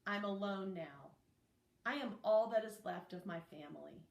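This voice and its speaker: A woman's voice: mid-range voice, moderate pace, vocal fry, natural voice. Echoey, earnest orphaned refugee boy voiced by a woman. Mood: bereft. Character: orphaned refugee boy, desperate yet determined to survive. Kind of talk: storybook character reading. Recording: roomy, echoey, distant microphone.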